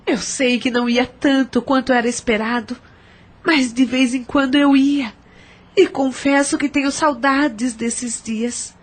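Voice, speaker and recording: A Brazilian woman playing a troubled, regretful estranged mother in an audio drama. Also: high voice.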